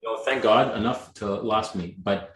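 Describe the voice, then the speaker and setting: Energetic Canadian man, echoey room. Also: on the low side, normal speed, a crowd in the background. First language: Greek. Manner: energetic, emphatic, blunt, swears freely. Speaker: guest, real estate agent. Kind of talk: motivational interview talk.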